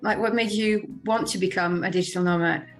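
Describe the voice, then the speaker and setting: A woman's voice: mid-range voice, steady tone, fairly quick, soft background music. Middle-aged female host from Serbia, friendly and chatty. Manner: friendly, laughs often. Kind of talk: casual interview chat.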